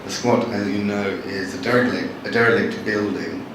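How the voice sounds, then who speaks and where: A man, a novelist giving a live talk to an audience in a wry, chatty, halting way, with frequent restarts. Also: fairly low pitch, fairly even intonation. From born in Bangladesh, raised in London. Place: large hall with audience. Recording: echoey hall from far off, noisy.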